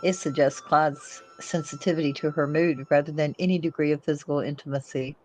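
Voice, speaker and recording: A woman's voice: deep voice, fairly even intonation, a little fast, darkish tone, poor audio. A woman reading screenplay stage directions aloud as scripted narration. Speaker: co-narrator, reader. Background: faint music.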